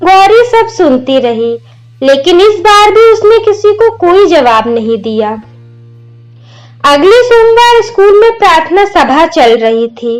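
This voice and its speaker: A woman's voice: extremely high pitch, sing-song, a little slow. A woman narrating a moral story in a scripted storytelling style. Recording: music under the voice. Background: instrumental music.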